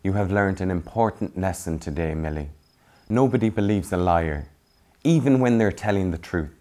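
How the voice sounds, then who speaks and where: A man doing a wise old meerkat elder, calm and low. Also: natural voice. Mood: gentle. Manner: calm, slow, comforting. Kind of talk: storybook reading.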